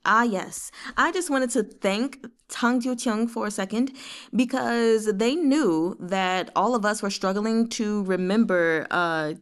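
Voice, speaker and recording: Smooth, clear-voiced woman chatting about books. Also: medium pitch, slow pace. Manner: expressive, digressive, giggly asides. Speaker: host of a book read-along. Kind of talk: casual chatty book discussion.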